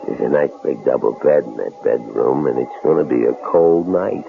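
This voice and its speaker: A man's voice: extremely low pitch, lively intonation, normal speed, creaky, over music, exaggerated voice. An actor playing a menacing, lecherous intruder who taunts his captives in a folksy, insinuating drawl, in an old-time radio drama. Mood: leering, mocking. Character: menacing, lecherous, mocking male intruder holding a couple captive. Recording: lo-fi phone-like radio recording, faint noise.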